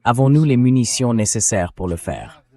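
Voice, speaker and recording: A man's voice: mid-range voice, very animated, normal speed, dark tone. An AI-voiced man speaking as a talk show host, giving dubbed political commentary. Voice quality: synthetic AI voice.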